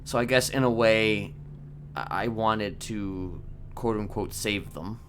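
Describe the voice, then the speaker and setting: Man acting as sinister male manipulator, game roleplay, very slow, deep, measured, halting, formal, faint ambient noise. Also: a bit bright. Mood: measured, confessional. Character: soft-spoken sinister male criminal manipulator, calmly confessing. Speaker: roleplay streamer. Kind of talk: improvised in-character game roleplay.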